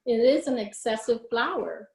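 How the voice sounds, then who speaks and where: A woman's voice: a little high, animated, normal speed, creaky, darkish tone. Reflective woman in her fifties, roomy sound. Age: 50s. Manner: reflective, searching for words with frequent ums. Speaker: invited artist answering questions. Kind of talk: thoughtful unscripted explanation. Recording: roomy echo.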